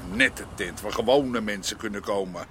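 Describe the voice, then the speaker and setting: An actor playing a stubborn, principled, ageing Amsterdam underworld boss in a radio drama, blunt and halting, with an Amsterdam accent. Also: male voice, fairly low pitch, noisy background, natural voice. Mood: brooding. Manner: blunt, halting, repeating words. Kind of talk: naturalistic radio drama dialogue.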